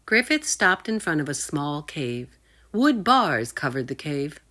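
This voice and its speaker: Low-voiced woman reading expressively to children. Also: very animated, a little slow, pushed and bright. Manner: engaging listeners with asides. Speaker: children's storytime reader. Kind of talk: expressive read-aloud narration for children.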